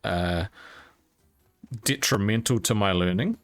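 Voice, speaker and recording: A man's voice: fairly low pitch, slow, no echo, soft background music. A young man telling a rambling personal story casually while gaming, candid and informal with some casual swearing. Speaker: YouTube content creator.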